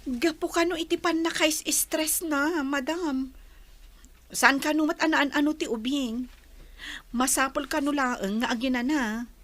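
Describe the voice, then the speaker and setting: A woman's voice: sits high, fairly even intonation, a little slow, a bit bright. An actress playing a flustered, upset woman in a radio drama, speaking hesitantly and deferentially.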